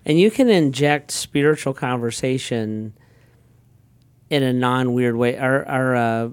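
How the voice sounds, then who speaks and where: A man's voice: mid-range voice, unhurried, clear, resonant tone, no echo. A middle-aged man, a pastor, telling casual everyday stories in a chatty, anecdotal way, often restarting sentences. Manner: anecdotal, chatty, frequent restarts and "da, da, da" fill-ins. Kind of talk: casual conversational storytelling.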